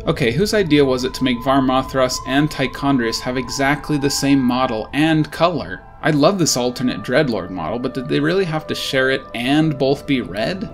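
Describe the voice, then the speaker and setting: A man's voice: medium pitch, moderate pace, hardly any pauses, faint music behind. A man reading scripted video commentary as a narrator. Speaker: narrator of a video about game unit quotes.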